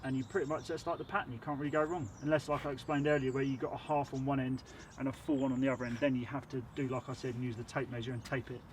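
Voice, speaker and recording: A man's voice: medium pitch, little pitch movement, very fast, few pauses, a little hiss or hum. A man with a sore throat, chatting casually while he teaches bricklaying on site. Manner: chatty, rambling, self-admittedly waffles. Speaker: bricklayer teaching on his channel. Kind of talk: casual how-to tutorial.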